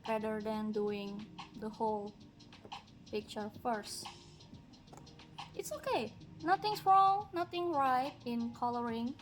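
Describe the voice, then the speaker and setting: A woman from Indonesia, speaking English as a second language, chatting slowly and musingly as she colors on a livestream. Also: fairly high pitch. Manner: slow, musing, talks while working, light laughs. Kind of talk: relaxed coloring livestream chat. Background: background music.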